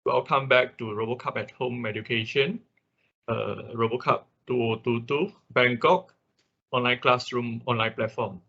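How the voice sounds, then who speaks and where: Husky man teaching, bright forceful voice. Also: medium pitch, steady tone, moderate pace. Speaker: class instructor, engineer. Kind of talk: online class presentation, informal lecture. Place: online video call. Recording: dull lo-fi online meeting audio.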